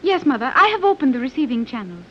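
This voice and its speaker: A woman's voice: high-pitched, very animated, quick pace. A woman playing a precise, logical daughter in a 1930s radio serial, speaking formally and exactly. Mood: cool, instructive. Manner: formal, exact diction without contractions, didactic. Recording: muffled, hissy 1930s radio recording.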